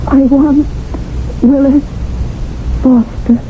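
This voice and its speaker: A woman's voice: high voice, steady tone, extremely slow, a little hiss or hum. An actress playing a nervous, flustered young Englishwoman who stammers and hesitates, in 1970s radio drama style. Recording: tinny old radio broadcast.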